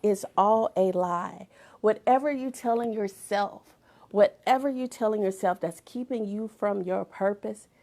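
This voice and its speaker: A middle-aged woman speaking at a mid pitch with a gravelly edge. She gives a motivational talk like a sermon, hammering points home through repetition and now and then sinking to a hushed whisper. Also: unhurried. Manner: emphatic, repeats phrases for effect, drops to whispers. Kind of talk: inspirational talk with preaching.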